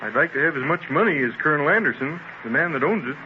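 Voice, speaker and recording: A man's voice: on the high side, animated, quick pace, lightly acted. An actor playing a sceptical, teasing young messenger boy in a 1930s radio drama. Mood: doubtful, teasing. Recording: muffled, noisy 1930s radio transcription.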